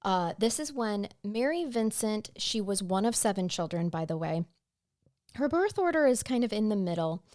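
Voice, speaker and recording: A woman's voice: mid-range voice, lively intonation, normal speed, a little mellow. Chatty woman podcast host. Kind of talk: casual chat.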